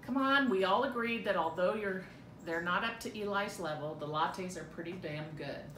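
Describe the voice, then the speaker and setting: A woman's voice: normal speed, a bit bright. A middle-aged woman doing a dramatic read-through of a script as a mother, recorded indoors at home. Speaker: amateur reader in a family script reading. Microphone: camera a few feet away. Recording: roomy echo, clear low rumbling noise.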